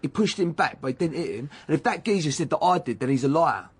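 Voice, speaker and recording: A man's voice: fairly high pitch, expressive, fast, slightly rough. An actor playing a cocky, defensive male footballer under questioning in a radio drama, with a London accent and slangy, blustering speech. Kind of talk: naturalistic radio drama acting.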